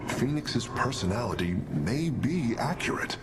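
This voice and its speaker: An actor playing an analytical alien scientist advisor who speaks in measured, formal, technical phrases in a video game cutscene. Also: male voice, mid-range voice, sing-song, background noise. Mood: measured, confident.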